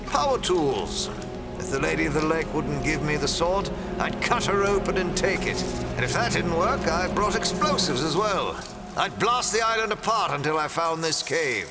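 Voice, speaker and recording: A male actor voices a theatrical audio drama villain with a fairly high voice. He is pompous and smug, savoring his words with mock courtesy and very animated pitch. Loud music plays behind him. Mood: smug, gloating.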